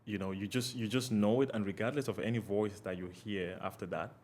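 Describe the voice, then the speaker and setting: A man's voice: fairly low pitch, steady tone, a little fast, a little mellow. A young man from Cameroon, a podcast guest, speaking earnestly and reflectively with many "you know" fillers. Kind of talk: casual interview talk.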